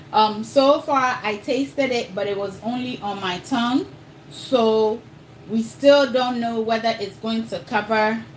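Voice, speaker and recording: A woman's voice: on the high side, a little hiss or hum, poor audio. A woman with an accent between Ghanaian and American, hosting a casual live cooking stream and chatting straight to her viewers. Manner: chatty, talks straight to viewers, calls them y'all. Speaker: cooking livestream host.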